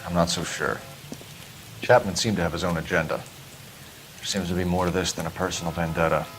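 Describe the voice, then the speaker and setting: Very low-pitched, earnest young detective. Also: male voice, fairly even intonation, a little fast, fairly bright tone, slight background noise, low-quality recording. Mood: skeptical. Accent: American. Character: earnest, guarded young male police detective in 1940s America. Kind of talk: dramatic video game acting.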